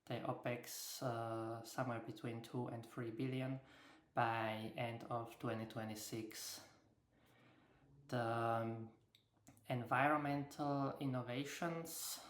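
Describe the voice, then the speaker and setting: An echoey recording of a woman talking through financial slides in English. She speaks slowly and haltingly, often stopping on filled pauses, and her intonation stays very flat. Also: extremely low pitch. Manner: non-native English with grammatical slips. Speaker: investor presenting earnings takeaways. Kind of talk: unscripted slide presentation commentary. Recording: echoey room sound.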